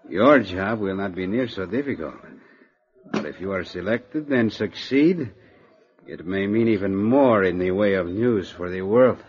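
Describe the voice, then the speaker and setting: A sly scheming agent, his voice low and smooth. He talks in a slow, insinuating way, and the sound is dull lo-fi old radio. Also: animated. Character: sly scheming Mexican male agent Carlos. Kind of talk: 1940s radio drama acting.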